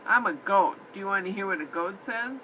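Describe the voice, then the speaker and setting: A woman's voice: mid-range voice, expressive, brisk, sharp tone, highs cut off, slight background noise. A woman chatting simply and encouragingly as a mother in an improvised puppet show, recorded on a tablet at home. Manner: encouraging, asks many simple questions. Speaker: mother playing puppets with her son. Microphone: iPad microphone.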